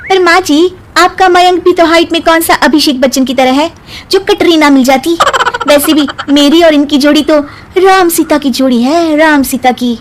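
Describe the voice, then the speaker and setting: A woman's voice: sits high, normal speed, background noise, close to an everyday voice. A woman voicing a short, witty young bride in a cartoon story, answering taunts with cheeky comebacks. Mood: teasing. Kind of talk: cartoon voice acting.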